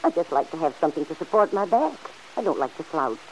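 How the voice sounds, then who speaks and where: An actress playing a kindly, principled older aunt who chides gently and earnestly in an old-time radio serial. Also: female voice, low-pitched, sing-song, quick pace, poor audio. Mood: friendly. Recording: hissy old radio recording.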